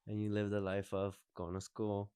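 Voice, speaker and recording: A man's voice: fairly low pitch, monotone, moderate pace, warm tone, clear, resonant tone, no echo, studio quality. A man in his twenties hosting a podcast, chatting casually, rambling and restarting his sentences. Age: 20s. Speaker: podcast host. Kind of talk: casual chat.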